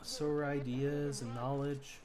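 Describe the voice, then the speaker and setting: A young man from the United States streaming a game, musing in a low-key, rambling way and keeping his voice lower than usual. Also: little pitch movement, a little slow, a crowd in the background. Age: teens. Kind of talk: casual chat while gaming.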